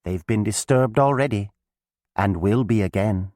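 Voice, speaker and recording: A man voicing a sly, bossy young witch girl who speaks in a sharp, commanding way. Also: fairly low pitch, measured pace, no echo, clean studio sound, lightly acted. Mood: ominous.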